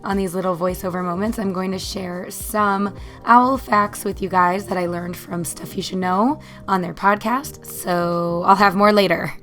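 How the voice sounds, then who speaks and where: A woman with a raspy, hoarse voice, chatting casually as she narrates her body painting. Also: mid-range voice, unhurried, a bit bright, faint music behind. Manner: chatty, rambling, laughs between thoughts. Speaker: body paint artist and vlogger.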